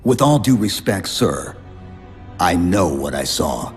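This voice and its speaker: An actor playing a stoic, resolute armored soldier, terse and deadpan, in a video game cutscene. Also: male voice, low voice, slow, full character voice. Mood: firm. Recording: long reverb, music underneath.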